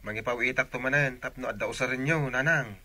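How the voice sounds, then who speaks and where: Earnest, devoted Ilocano son, male actor, radio drama; mid pitch, intensely bright and projected. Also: fast talker. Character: devoted, earnest adult son working far away.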